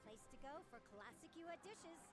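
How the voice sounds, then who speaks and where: A woman's voice: high-pitched, animated, moderate pace. An actress playing a girl food-stall seller in a video game, calling out sing-song sales patter. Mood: cheery selling. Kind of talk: scripted video game street vendor barks. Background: loud game music.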